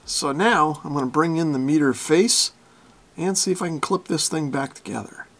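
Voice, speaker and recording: A man with a fairly high voice and plenty of vocal fry narrates a hands-on repair tutorial. His delivery is informal and folksy, with a self-deprecating streak. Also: moderate pace, fairly bright tone. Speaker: radio repair hobbyist and video host.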